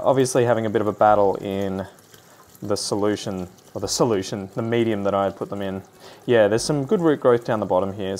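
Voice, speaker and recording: A man's voice: lowish voice, darkish tone. A man in his thirties with an Australian accent, chatting casually and thinking aloud as he does plant chores in his lounge room. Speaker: plant vlogger. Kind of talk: casual chat while doing chores. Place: lounge room full of houseplants.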